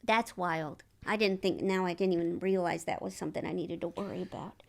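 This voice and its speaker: A woman with a high voice, a comedian guest on an interview podcast, quirky and self-deprecating, often rambling through her thoughts. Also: fairly quick, creaky.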